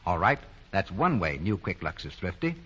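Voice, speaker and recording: An American man working as a 1940s radio announcer, delivering scripted advertising with deliberate, emphatic salesmanship. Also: sits low, very animated, pushed and bright, breathy edge. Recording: dull old 1940 radio broadcast.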